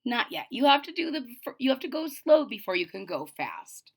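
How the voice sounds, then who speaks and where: A woman reading a story aloud for children, expressive and teacherly, pausing to explain it simply. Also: fairly high pitch, husky. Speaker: storybook reader.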